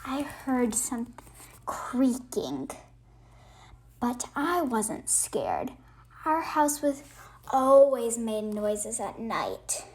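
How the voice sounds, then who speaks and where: A woman with a fairly high voice reads a children's picture book aloud. Her pace is very slow and halting, with expressive emphasis on the phrases. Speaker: read-aloud host.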